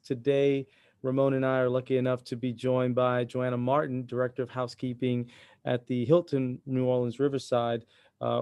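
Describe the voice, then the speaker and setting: A man hosting an interview podcast in a conversational, somewhat halting way, often repeating words. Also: mid-range voice, darkish tone, clear, resonant tone, no echo. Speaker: podcast host.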